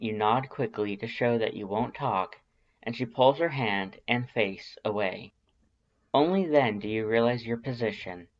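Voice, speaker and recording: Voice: medium pitch, lo-fi sound. A narrator reading a scripted story expressively, in the manner of an audiobook. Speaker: fan fiction narrator.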